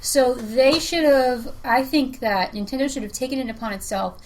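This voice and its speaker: A woman's voice: high-pitched, normal speed, darkish tone, low-quality recording. A woman on a podcast panel, speaking assertively and giving her opinions in a casual debate. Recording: faint background noise.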